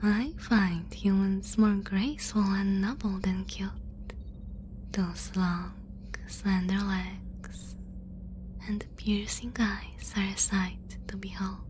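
Woman playing a slow, whispering, composed alien scientist. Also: mid-range voice, steady tone, slight background noise, natural voice. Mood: earnest. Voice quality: soft breathy whisper. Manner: measured, formal, reassuring. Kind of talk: whispered ASMR roleplay.

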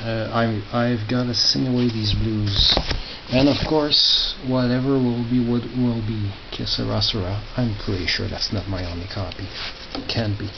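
A middle-aged man giving casual, halting commentary as he reads out titles and mutters asides. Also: steady tone, gravelly. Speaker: record collector showing his collection. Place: small tiled indoor room by storage crates. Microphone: handheld camera held by the speaker. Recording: muffled, noisy low-grade camera audio with clicks.

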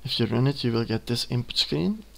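A young man in his twenties, a native Dutch speaker with a Dutch accent, hesitantly talking through a screen-recorded software tutorial, with many ums and self-corrections. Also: medium pitch, animated, a little fast, creaky. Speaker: student giving a tutorial. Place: at a computer, indoors.

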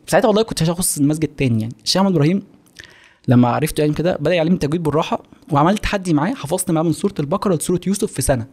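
Fast-talking young Egyptian man. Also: a little high, a little mellow, slightly rough. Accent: Egyptian Arabic. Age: 20s. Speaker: podcast guest. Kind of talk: casual podcast chat. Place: small home-style studio office, indoors. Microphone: close studio microphone on boom arm.

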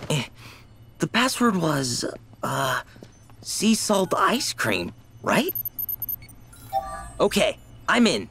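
Unhurried, expressive teen boy. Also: a little high, faint music behind, exaggerated voice. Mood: matter-of-fact. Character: easygoing, techy teenage boy. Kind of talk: video game voice acting.

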